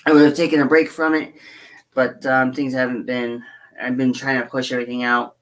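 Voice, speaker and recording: A hoarse woman chatting casually on a livestream as she builds a scale model, rambling and halting with frequent fillers. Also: a bass voice, little pitch movement. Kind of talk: casual livestream chat while working.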